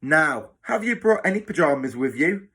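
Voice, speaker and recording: A man performs a cruel hospital matron from a children's audiobook. She is bossy and sneering, with a raised pitch. Her delivery is snappy, sarcastic and mocking, made to humiliate. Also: very animated, moderate pace, bright, forceful tone, husky, exaggerated voice. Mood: stern, commanding.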